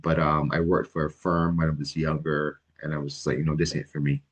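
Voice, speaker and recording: Middle-aged man, extremely low voice, relaxed and hesitant, lots of ums. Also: highs cut off. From Barbados. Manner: halting, many ums and restarts, relaxed. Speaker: guest, licensed mental health counselor. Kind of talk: casual interview answers.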